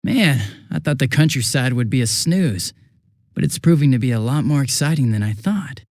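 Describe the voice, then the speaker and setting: An actor playing a bumbling, chatty young police detective in a video game dub, with an American accent and a sheepish, stammering, casual manner. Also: male voice, mid-range voice, lively intonation, normal speed, darkish tone, no room sound, clean studio sound, natural voice. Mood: chatty, breezy.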